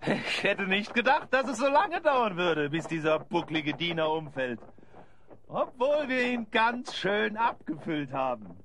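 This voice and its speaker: A jolly, boastful thief in an audio play. The voice is fairly high and forceful, with faint noise behind it. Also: male voice, very animated, measured pace, exaggerated voice. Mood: pleased, boastful. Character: jolly male thief, hyena spy's crony. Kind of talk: German audio drama acting.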